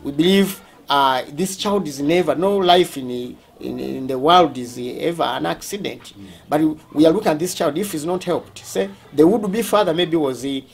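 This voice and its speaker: A man with a Ugandan accent speaking as a school representative, explaining things during a tour in a halting, deliberate way, often rephrasing. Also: fairly high pitch, breathy edge.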